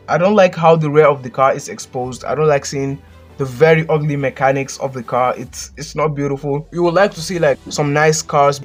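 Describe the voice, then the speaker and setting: A man with a Nigerian accent, hosting a casual countdown and giving blunt, joking opinions as a voice-over. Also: fairly high pitch. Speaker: channel host. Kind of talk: casual list commentary.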